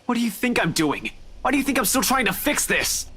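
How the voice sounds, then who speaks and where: An actor playing a haunted, defiant police detective in a horror visual novel, tense and defensive. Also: male voice, extremely high pitch, big pitch swings, brisk, bright, forceful tone, husky, full character voice. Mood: angry, defiant.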